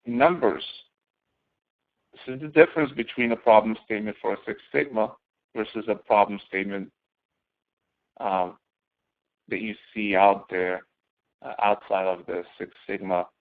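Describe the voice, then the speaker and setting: A man giving an instructional walkthrough as a course instructor, explaining step by step and posing questions that he then answers, in a gravelly voice. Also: mid-range voice. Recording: narrow, phone-like audio.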